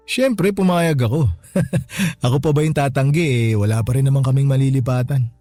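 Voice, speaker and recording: A man playing a humbled older brother, a former tough guy, speaking casually and with gruff sincerity in a radio drama. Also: medium pitch, normal speed, dark tone, no echo, lightly acted. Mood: grateful, lighthearted. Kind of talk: radio drama dialogue, slangy and conversational. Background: background music.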